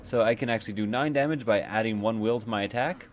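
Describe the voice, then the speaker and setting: A man's voice: lowish voice, fast talker. A man giving casual let's play commentary, explaining the game and thinking aloud as he goes. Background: game music.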